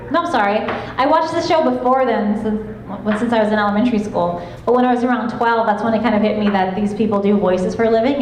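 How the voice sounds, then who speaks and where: Woman, young, soft voice, chatty, convention panel, echoey hall, background noise. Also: a little high. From Los Angeles, California. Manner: chatty, laughing, self-described soft voice. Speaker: guest voice actress. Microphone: handheld panel mic.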